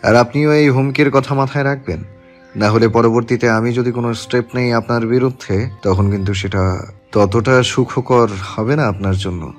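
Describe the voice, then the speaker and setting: A man with a Bangladeshi accent playing a self-assured young politician and husband in a measured, intimate way, in a romantic audio story. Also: mid-range voice, fairly even intonation, faint music behind, natural voice. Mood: coolly threatening. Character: young male politician, tender husband, coolly menacing to rivals.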